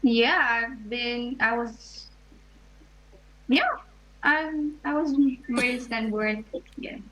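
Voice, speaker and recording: A teenage girl from Jamaica, interviewed over a video call, answering softly and hesitantly. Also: a little high, steady tone, drawn-out words. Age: late teens. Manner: soft, hesitant, many ums. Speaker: student guest. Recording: dull lo-fi video call audio.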